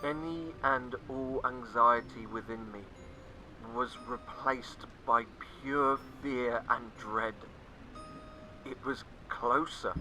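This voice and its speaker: A man narrating a scripted first-person horror story, dramatic and sinking to hushed tones at the tense moments. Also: on the high side, background noise. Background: faint music.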